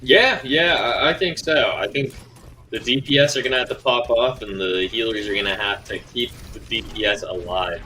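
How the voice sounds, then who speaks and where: A man with a lowish but bright, forceful voice adds short takes and analysis beside the main caster. A faint hiss sits behind him. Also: normal speed. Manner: relaxed, brief agreeing remarks and analysis. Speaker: color commentator. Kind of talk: esports commentary.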